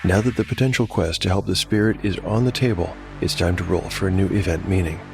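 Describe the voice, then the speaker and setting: A man with a very low, creaky voice narrates a fantasy tabletop game. His delivery is measured and reflective, like a scripted game master, with music clearly playing underneath. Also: steady tone.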